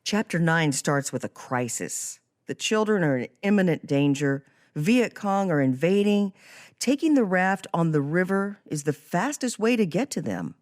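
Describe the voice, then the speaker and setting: A woman's voice: lowish voice, expressive, moderate pace, darkish tone. A woman hosting a podcast, presenting and asking questions in a scripted interview style. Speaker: podcast host.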